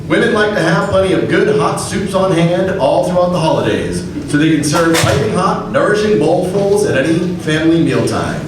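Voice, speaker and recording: A man's voice: high-pitched, sing-song, moderate pace, hardly any pauses. A man delivering a formal, declamatory old-time radio announcement. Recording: echoey room from far off, noisy.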